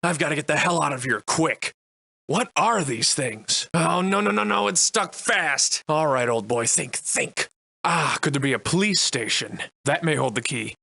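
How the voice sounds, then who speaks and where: An actor playing a wry, defiant Victorian-era adventurer and monster slayer in a video game, soliloquizing in florid, old-fashioned diction. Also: male voice, on the high side, expressive, normal speed, creaky, pushed and bright, husky, no room sound, heavily acted. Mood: alarmed, then wary, defiant. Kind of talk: theatrical video game voice lines in archaic English.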